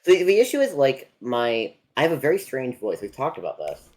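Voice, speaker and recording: Lively young man chatting on stream. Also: mid-range voice, fairly quick. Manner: animated, laughs often, reads out chat. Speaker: co-host. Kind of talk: casual chat.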